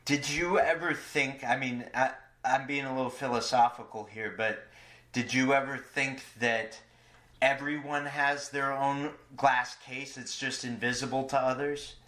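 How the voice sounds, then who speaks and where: A man hosting a podcast, speaking haltingly and hesitantly in a personal, philosophical conversation. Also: mid-range voice, steady tone, sharp tone. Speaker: podcast host, interviewer. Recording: echoey room sound.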